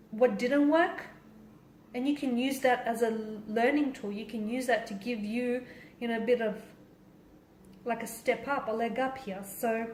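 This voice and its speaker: A woman's voice: on the high side, darkish tone. A woman giving a conversational tarot reading straight to the viewer, stressing points by repeating and rephrasing them. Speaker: tarot card reader and host. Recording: roomy echo with faint background noise.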